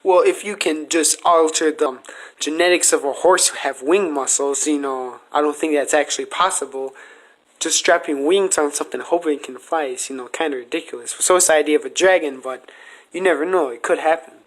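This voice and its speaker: A man's voice: on the high side, fairly quick, low-quality recording. A man hosting a casual podcast, speaking in an earnest, speculative way as he argues his case. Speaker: podcast host asking questions.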